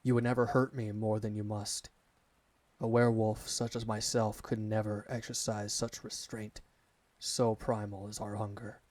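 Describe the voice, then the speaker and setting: Low-voiced, devoted werewolf servant, acted by a man. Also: flat delivery, darkish tone, dry recording, lightly acted. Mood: devoted, reverent. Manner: formal, measured, reverent address to a master. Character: devoted male alpha werewolf servant, earnest and deferential. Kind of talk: ASMR roleplay monologue.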